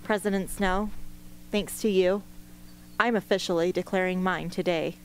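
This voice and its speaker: A young woman playing a determined, anguished teenage girl rebel in an amateur radio play, speaking earnestly and with measured care. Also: on the low side, fairly even intonation, a little hiss or hum, lightly acted, low-quality recording. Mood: sombre, resolute. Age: young adult. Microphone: close studio microphone on stand.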